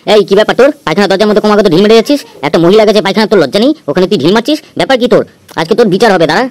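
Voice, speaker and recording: A man's voice: high voice, racing, no room sound, close to an everyday voice. A man voicing a stern, indignant villager who scolds and demands answers in a comedy cartoon.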